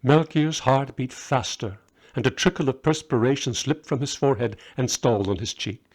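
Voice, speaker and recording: A man narrates a short story as its author, reading from a prepared text. His voice sits at an ordinary middle pitch for a man. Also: moderate pace.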